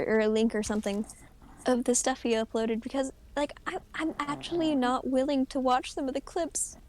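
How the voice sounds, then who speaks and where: A young woman streamer with a high voice, chatting casually and rambling, often giggling and restarting her sentences. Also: a little mellow, a little hiss or hum. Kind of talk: casual gaming chat.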